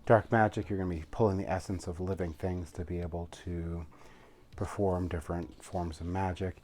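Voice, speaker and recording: A man's voice: low voice, steady tone, moderate pace, a little mellow. A man giving a casual, rambling, enthusiastic review as he explains a game rulebook. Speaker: tabletop game reviewer, host.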